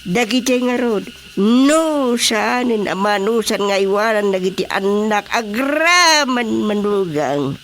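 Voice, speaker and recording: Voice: mid-range voice, animated, takes it slowly, fairly bright tone. Emphatic radio-drama neighbour airing woes. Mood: lamenting. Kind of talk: Ilocano radio drama acting. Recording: faint background noise with crickets.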